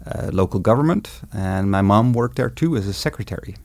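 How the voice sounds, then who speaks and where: Low gravelly Dutch-accented man. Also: animated, a little mellow, no room sound, clean studio sound. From Netherlands. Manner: measured, explanatory, with some hesitations. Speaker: guest road ecologist. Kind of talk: interview answers.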